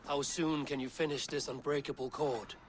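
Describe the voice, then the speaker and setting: A man's voice: mid-range voice, fairly even intonation, normal speed, over music, natural voice. An actor playing a grave, authoritative Norse god-king, stern and wary, in a video game cinematic. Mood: grave, commanding.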